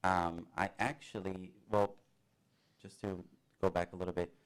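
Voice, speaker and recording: A young man from Brazil with a Brazilian Portuguese accent, a student guest chatting casually in an interview, halting often with fillers and small laughs. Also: low-pitched, little pitch movement, smooth.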